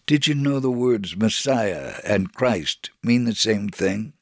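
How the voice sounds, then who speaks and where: A man reading a scripted first-person religious narrative in a measured, solemn, dramatic way. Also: fairly low pitch, animated, no room sound, clean studio sound. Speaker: storyteller on a religious radio program.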